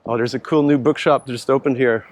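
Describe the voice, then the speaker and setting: A man in his thirties with a French accent, talking casually while out riding, in a relaxed, rambling way with many restarts. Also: mid-range voice, fairly quick, slight background noise. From France. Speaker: startup founder. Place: outdoors on city streets.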